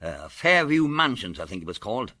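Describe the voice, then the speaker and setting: Forceful, exasperated police detective played by a man. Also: fairly low pitch, sing-song, brisk, no room sound, full character voice. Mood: curious, surprised. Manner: gruffly exclamatory, protesting. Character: exasperated, blustering male police detective and reluctant friend. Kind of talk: tongue-in-cheek golden age detective radio drama.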